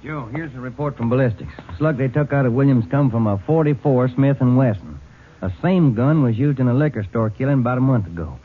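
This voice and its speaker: An actor playing an easygoing American police detective partner in a radio crime drama, with a plain, conversational manner. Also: male voice, medium pitch, a little fast, slightly rough, natural voice. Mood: businesslike. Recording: muffled, noisy old-time radio.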